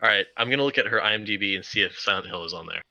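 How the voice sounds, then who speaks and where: A man's voice: on the low side, rapid-fire, pushed and bright, dry recording, poor audio. A man chatting casually and animatedly as a podcast panelist.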